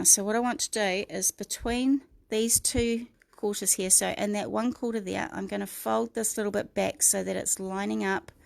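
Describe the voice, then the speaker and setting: A middle-aged woman with a New Zealand accent, croaky this morning, chatting through a livestream craft tutorial and greeting viewers by name. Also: mid-range voice, dry recording. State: croaky, clearing her throat.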